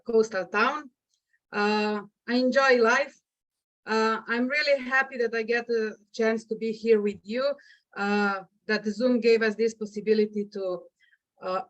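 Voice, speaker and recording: A woman from Slovenia, a non-native English speaker, presenting as a guest coach on a video call with many hesitant fillers. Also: fairly high pitch. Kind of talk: webinar presentation. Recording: muffled video call audio.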